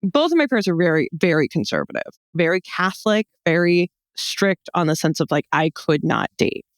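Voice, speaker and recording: A young American woman with a slightly low, clean voice, recorded close and dry. She talks like a podcast guest in casual chat, animated and joking as she spins anecdotes and slips into quoted dialogue. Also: normal speed, no room sound. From Texas, United States. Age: young adult. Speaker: podcast guest, comedy show founder.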